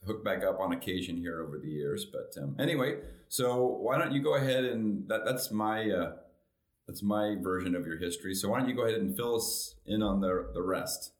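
Male podcast host, lowish voice, informal and meandering, recorded in a roomy, echoey space. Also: expressive, fairly quick. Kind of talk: casual, hesitant interview introduction.

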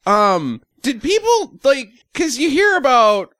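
A man's voice: very high-pitched, sing-song, slow, bright, forceful tone, no echo, studio quality. A man hosting a casual movie podcast, joking and exasperated, swearing and laughing often. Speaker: podcast host. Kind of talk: casual movie-review banter.

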